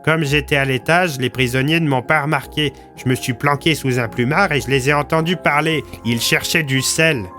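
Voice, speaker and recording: A man's voice: mid-range voice, normal speed, hardly any pauses, bright, forceful tone, soft background music, voice pushed up, close to an everyday voice. A man playing a blunt, slangy small-town police commissioner recounting his survival in an audio story. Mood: blunt, matter-of-fact.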